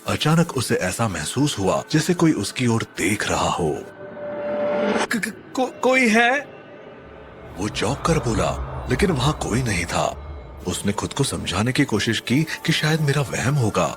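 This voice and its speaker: A man's voice: fairly low pitch, very animated, normal speed. A man narrating a scripted horror story in a dramatic, suspenseful manner. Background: music.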